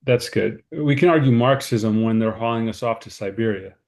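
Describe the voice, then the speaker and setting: A man's voice: fairly low pitch, moderate pace, creaky. A man acting an anxious, straight-laced and sceptical character in a naturalistic table read. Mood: exasperated.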